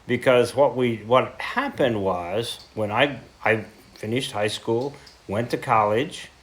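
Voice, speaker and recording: A man in his seventies with an American accent, speaking informally as a heritage lecturer, telling his story reflectively with pauses and self-corrections. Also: mid-range voice. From central Idaho, United States. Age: 70s. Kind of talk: informal talk to a local group.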